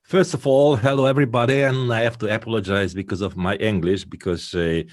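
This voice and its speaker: Older Hungarian man, 70s, strong accent, halting careful English, low voice, dry video-call sound. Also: fairly even intonation, dry recording. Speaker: guest folk musician. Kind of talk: interview over video call.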